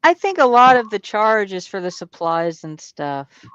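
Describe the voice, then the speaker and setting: A woman's voice: medium pitch, very animated, unhurried, fairly bright tone, clear, resonant tone, dry recording. A woman in her fifties chatting casually, easygoing and laughing along. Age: 50s. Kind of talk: casual chat. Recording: dry, muffled lo-fi audio.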